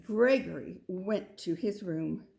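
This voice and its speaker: A woman's voice: lowish voice, slow pace, creaky, dark tone, slight background noise. A woman narrating a children's story as a read-aloud. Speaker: storybook reader.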